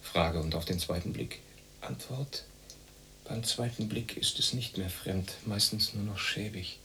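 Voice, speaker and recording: A wry young East German loner in a radio play. His voice is fairly low yet bright and forward, delivered in clipped, deadpan phrases, with a faint hum behind it. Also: measured pace, low-quality recording. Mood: brooding, musing. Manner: clipped, deadpan, asks and answers himself. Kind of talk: radio drama acting, part inner monologue.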